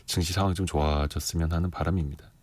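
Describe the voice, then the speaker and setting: A man's voice: low-pitched, fairly even intonation, a little mellow, no echo. A man hosting a radio interview show, reading market figures and chatting with a guest in a conversational manner. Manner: conversational, quick back-and-forth. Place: radio studio.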